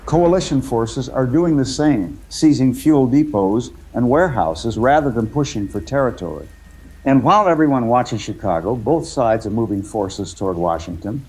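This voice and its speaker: A man playing a truck driver who calls a radio show in a war drama, giving a measured, matter-of-fact eyewitness account. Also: mid-range voice, animated, a little mellow, husky, poor audio. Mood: grave, matter-of-fact. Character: shaken but observant male trucker escaped from a war zone. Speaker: radio caller.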